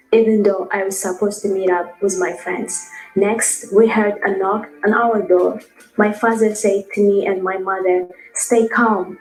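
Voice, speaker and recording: A woman's voice: mid-range voice, a little mellow, natural voice. A woman playing a fifteen-year-old girl, reading a scripted first-person account in a plain, earnest way. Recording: echoey room with faint music.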